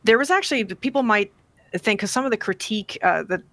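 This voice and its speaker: Bright-voiced woman author, measured. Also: medium pitch, animated. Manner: thoughtful, measured, with many fillers. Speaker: author guest. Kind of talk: interview on radio show. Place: home study with bookshelves. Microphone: desk podcast mic on boom, video call.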